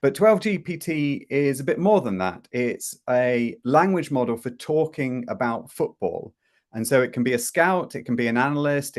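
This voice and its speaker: Chatty middle-aged man on a video call. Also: medium pitch. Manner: chatty, with frequent fillers and restarts. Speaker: host presenting a product demo. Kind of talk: casual live presentation. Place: home room.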